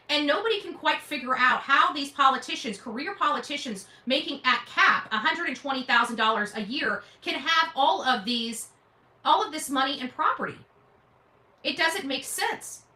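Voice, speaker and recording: A woman hosts a casual livestream with a bright, forward voice. She gives emphatic, opinionated political commentary. The sound is roomy, with noticeable echo around her words. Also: fairly high pitch.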